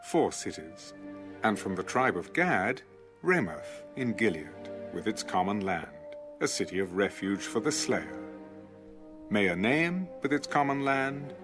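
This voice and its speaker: A man reading Bible passages aloud in a measured, even recitation, as an audio Bible narrator. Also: lowish voice. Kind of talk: scripted scripture reading. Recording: music bed under the reading. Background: background music.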